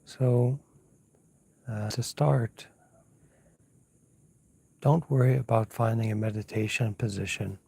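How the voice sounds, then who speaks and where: A man teaching as a monk leads an unscripted guided meditation in a hushed, soft, slightly husky voice, unhurried and pausing often as he gently gives instructions. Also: mid-range voice. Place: outdoors at night, lying down under a mosquito net.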